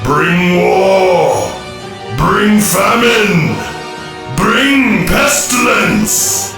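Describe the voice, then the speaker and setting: A man's voice: sits high, lively intonation, extremely slow, creaky, exaggerated voice. A man playing an ancient tyrannical supervillain in an audio drama, declaiming in a grandiose, commanding, menacing manner. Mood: rousing fury. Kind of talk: audio drama villain acting. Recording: distant, echoing voice under heavy music.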